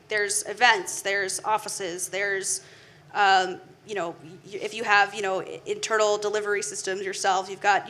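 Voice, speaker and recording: A woman's voice: mid-range voice, fairly bright tone, slight background noise. A woman moderating a panel discussion, asking long conversational questions with fillers. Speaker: moderator, trade-press editor.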